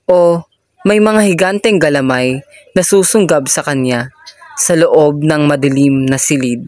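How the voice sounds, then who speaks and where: A person reading a children's story aloud in a measured, deliberate storytelling manner. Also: mid-range voice, darkish tone, no room sound.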